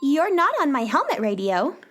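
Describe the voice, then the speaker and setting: A woman playing a plucky, curious young space-adventurer girl, wide-eyed and expressive, in a children's audio drama. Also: sits high, moderate pace, faint music behind. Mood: surprised, curious.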